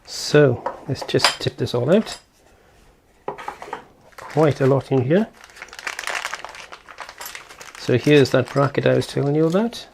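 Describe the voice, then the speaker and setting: A man's voice: mid-range voice, lively intonation, unhurried, creaky, long pauses, noisy background. A man giving a casual, unscripted product unboxing and setup walkthrough, thinking aloud as he goes. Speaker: video host.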